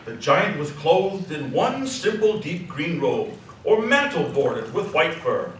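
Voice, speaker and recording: A man narrating a scripted story dramatically, savoring the descriptive words with expressive delivery. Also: fairly high pitch, unhurried. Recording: echoey hall, distant mic, faint noise.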